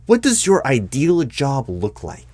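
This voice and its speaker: A man playing a patient but baffled corporate recruiting manager in a one-sided interview roleplay, speaking in a halting, stammering, diplomatic way. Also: mid-range voice, huge pitch swings, a little mellow, voice pushed up. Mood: patient, encouraging.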